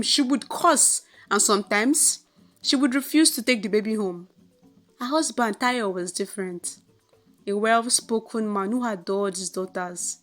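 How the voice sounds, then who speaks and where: A woman with a Nigerian accent narrating a folktale in an expressive, theatrical, scripted storytelling style. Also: mid-range voice.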